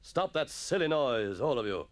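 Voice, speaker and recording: A man's voice: medium pitch, animated, normal speed, darkish tone, close to an everyday voice. A man voicing a grumpy, blustering middle-aged schoolmaster with a stern, spluttering manner in a story reading. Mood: angry.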